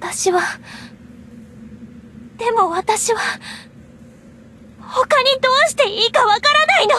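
A young woman playing an anguished, devoted, guilt-ridden girl in a Japanese anime game, speaking earnestly and haltingly. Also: extremely high pitch, lively intonation, slight background noise, full character voice. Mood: lost, faltering. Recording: game music behind the voice. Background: loud game music.

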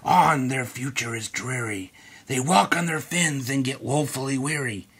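A man voicing an indignant, scolding old forest creature from a storybook, with an emphatic, croaky delivery. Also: mid-range voice, measured pace, a bit bright, exaggerated voice. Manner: complaining, emphatic, coughing croak.

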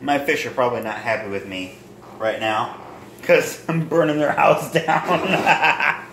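Man in his twenties, unhurried halting delivery, self-deprecating, casual cooking vlog, some kitchen noise behind. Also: medium pitch, gravelly. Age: 20s. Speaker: home cooking vlogger. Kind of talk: casual cooking demonstration to camera. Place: small home kitchen and dining room. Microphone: handheld or propped phone near arm's length. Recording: roomy echo, kitchen noise with sizzling.

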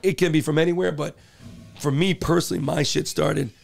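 Fast gravelly man in his forties. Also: fairly high pitch, steady tone. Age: 40s. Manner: loose, swearing, laid-back. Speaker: podcast guest. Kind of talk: casual chat. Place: small cruise ship cabin. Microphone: handheld dynamic mic.

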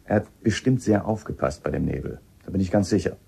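Low, gravelly police inspector. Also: male voice, expressive, a little fast, dark tone, heavily acted. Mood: probing, firm. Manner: hesitant, probing, matter-of-fact. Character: shrewd, polite male police inspector. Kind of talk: radio drama acting.

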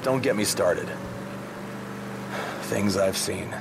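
Weary guard, low voice, slow, jaded, game scene, car noise behind. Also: male voice. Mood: weary, grumbling. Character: weary, grumbling night guard, bribable. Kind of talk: cinematic video game dialogue. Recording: clear car and ambient noise.

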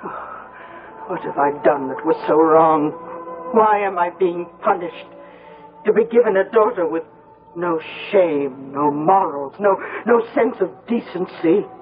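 A woman's voice: lowish voice, animated, unhurried. An actress playing a bitter, self-pitying middle-aged mother who laments in a declamatory radio drama manner. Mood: aggrieved, lamenting. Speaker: supporting actress. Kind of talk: 1970s radio drama acting. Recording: muffled phone-like old radio recording, music.

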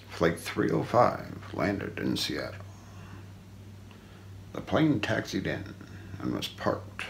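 Man, middle-aged, ASMR mystery narrator, solemn, low-pitched, faint background noise. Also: vocal fry. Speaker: narrator of an unsolved-mystery story. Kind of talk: ASMR scripted narration, true-crime documentary role play. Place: small indoor room.

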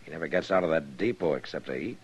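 A deep, grave frontier marshal. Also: male voice, darkish tone, husky. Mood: steady. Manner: grave and measured. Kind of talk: 1950s radio drama acting. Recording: dull vintage radio transcription.

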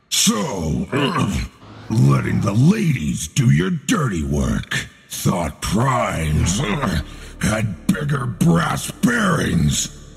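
A man playing a corrupted robot warlord in an animated drama, gravelly, taunting and theatrically bitter. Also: mid-range voice, soft background music, exaggerated voice. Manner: slow, theatrical, sneering. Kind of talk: dramatic animated-film acting.

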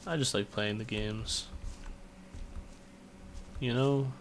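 A man's voice: medium pitch, steady tone, slow, slight background noise. A young man casually commentating on a game from his bedroom, offhand and self-deprecating. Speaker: let's play commentator. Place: bedroom at home.

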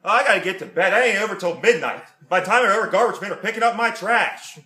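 A brash middle-aged football fan, as a man plays him for a comedy sketch. He gushes and rambles in a high, bright voice, scattering "oh jeez" asides. The sound is roomy and echoey. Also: lively intonation, quick pace. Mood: bossy, gossipy. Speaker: sketch interviewer.

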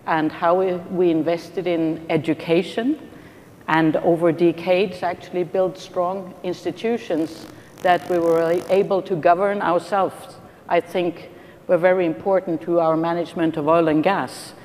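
A middle-aged Norwegian woman on a conference panel, speaking deliberately with frequent pauses. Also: steady tone, a little mellow. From Norway. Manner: deliberate, hesitant with many uh pauses. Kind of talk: panel discussion. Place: large conference hall stage. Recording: reverberant hall, faint rumble.

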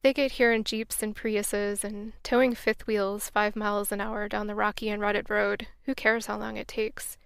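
A woman's voice: mid-range voice, little pitch movement, moderate pace, gravelly, few pauses, clear, resonant tone, dry recording. A woman hosting a podcast, reading scripted travel narration in a reflective, wry, earnest way, on a close mic. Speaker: podcast host.

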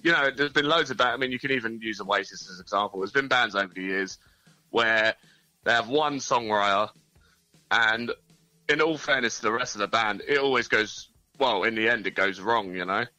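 A man's voice: on the low side, moderate pace, bright, forceful tone, no room sound, rough recording. A man, a band member answering questions in a casual interview, easygoing and full of "you know". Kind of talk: casual interview over the phone.

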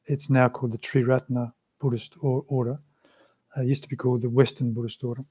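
A man, answering interview questions in a measured, thoughtful way over a video call from a small room. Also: medium pitch, flat delivery, mellow, slightly rough, dry recording. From Australia. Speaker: interview guest, Buddhist practitioner. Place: small room with a shrine, indoors.

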